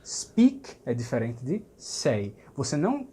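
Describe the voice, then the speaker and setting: A man's voice: medium pitch, huge pitch swings, takes it slowly, warm tone, breathy edge. A man teaching a lesson, explaining patiently and checking understanding as he goes. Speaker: language teacher. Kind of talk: recorded language lesson.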